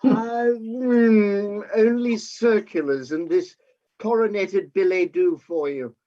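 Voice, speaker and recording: An actor playing a genial, mild-mannered gentleman in a staged play reading, polite and hesitant in his talk. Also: high-pitched, takes it slowly, dull audio. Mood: mild, amused.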